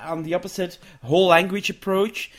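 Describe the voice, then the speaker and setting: A man with a Dutch accent, a researcher and author answering interview questions in a thoughtful, halting way with frequent restarts. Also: high voice, steady tone, a bit bright. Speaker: education researcher and book author.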